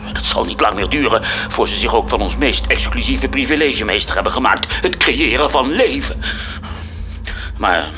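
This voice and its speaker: Bright, forceful, scornful male angel. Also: mid-range voice, animated, normal speed. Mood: indignant, scornful. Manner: scornful, sardonic, rhetorical. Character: cynical, indignant senior angel scorning mankind. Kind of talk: radio drama dialogue. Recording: music under the voice.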